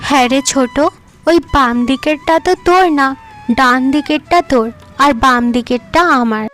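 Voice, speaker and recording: Woman voicing a gentle elder village wife. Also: high voice, normal speed, faint music behind. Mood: pleading. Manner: gentle and coaxing.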